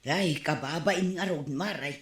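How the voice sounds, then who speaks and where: A woman's voice: deep voice, unhurried, roomy sound. A woman playing a gossiping village neighbor in a comedy radio drama, speaking with an Ilocano accent and a complaining manner.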